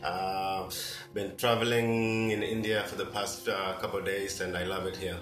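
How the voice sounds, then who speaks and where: A young man from Kenya speaks in a fairly low voice as a podcast guest, thoughtful and hesitant with plenty of ums. The room is echoey, and clear guitar music plays behind him. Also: little pitch movement, moderate pace, a bit bright. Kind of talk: casual interview.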